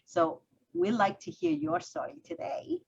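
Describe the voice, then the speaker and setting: A woman's voice: high-pitched, sing-song, measured pace, vocal fry, darkish tone. A woman from Vietnam, hosting a conversational interview over a video call, speaking thoughtfully with frequent fillers. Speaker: host. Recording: dull lo-fi audio with faint noise.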